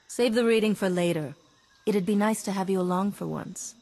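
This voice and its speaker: A woman playing a composed, persuasive and compassionate Jedi heroine in a video game, measured and earnest. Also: medium pitch, a little mellow, natural voice. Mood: calm. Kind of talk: video game cutscene acting.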